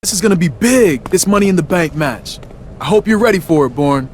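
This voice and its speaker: Upbeat high-voiced wrestler. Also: male voice, expressive, fairly quick, slight background noise. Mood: excited, friendly. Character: upbeat, friendly male wrestler rival. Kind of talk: video game cutscene acting.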